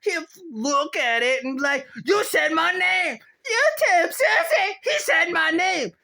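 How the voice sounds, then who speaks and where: A man putting on a squeaky, agitated complainer who rants in exclamations, repeating himself and drawing out words. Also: animated, full character voice. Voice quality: high, bright, squeaky. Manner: exclamatory, repetitive, drawn-out words. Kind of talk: rambling solo rant.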